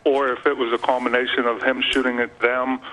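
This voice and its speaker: A man gives a spontaneous account on live news. His voice is mid-pitched, and there is faint noise behind it. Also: little pitch movement, fairly quick, a bit bright, rough recording.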